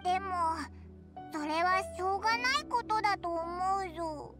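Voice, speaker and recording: A woman's voice: very high-pitched, moderate pace, over loud music. A woman voicing a tiny, childlike fairy companion in an anime game, talking in an earnest, blunt, boyish way. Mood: serious. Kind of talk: anime game character dialogue.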